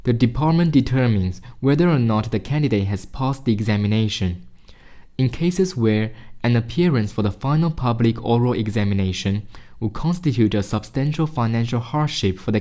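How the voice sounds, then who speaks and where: A man reading short exam passages aloud as a narrator, in a measured, formal manner. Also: on the low side, mellow.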